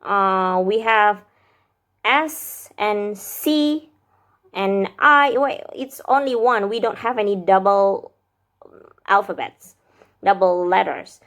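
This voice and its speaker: A woman's voice: mid-range voice, a bit bright. A woman in her thirties with an Indonesian accent, a vlogger musing aloud about learning a language, recorded at arm's length in a small room. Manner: slow, thoughtful, musing aloud with hesitations. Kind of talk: casual language-learning vlog. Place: small indoor room with lamps behind. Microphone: camera or phone at arm's length.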